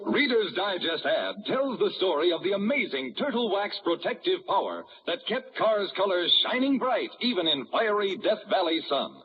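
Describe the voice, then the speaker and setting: An assured vintage commercial announcer reads in a high, animated voice. The old recording is dull and washed in long reverb. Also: male voice, moderate pace, few pauses, faint music behind. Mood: assured, selling. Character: enthusiastic, authoritative male ad announcer. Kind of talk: vintage advertisement read. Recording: muffled, noisy old broadcast, long reverb.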